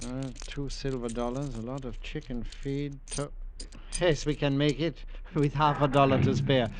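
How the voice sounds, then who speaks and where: A man's voice: mid-range voice, flat delivery, normal speed, slight background noise, natural voice. An actor playing a wry, resourceful male fugitive in a 1950s radio drama, dry and slightly formal.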